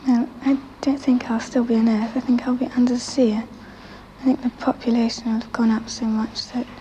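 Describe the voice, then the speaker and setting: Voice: medium pitch, little pitch movement, moderate pace, dark tone. A young girl answering casually in an interview. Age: child or teenager. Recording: faintly noisy 1960s archival interview.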